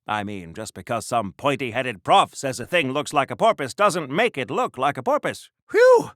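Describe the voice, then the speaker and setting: An actor playing an earnest, socially awkward old-time superhero in a video game, chirpy and rambling, with quaint old-fashioned exclamations. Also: male voice, mid-range voice, wildly swooping pitch, normal speed, fairly bright tone, no echo, clean studio sound. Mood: musing. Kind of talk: mid-century hero voice acting for a video game.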